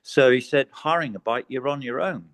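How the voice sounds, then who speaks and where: A man's voice: mid-range voice, normal speed, fairly bright tone. A man from the UK with a neutral British accent, asking a question on a group video call in a hesitant, polite way. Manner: hesitant, repeats words, polite. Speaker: tour participant asking a question.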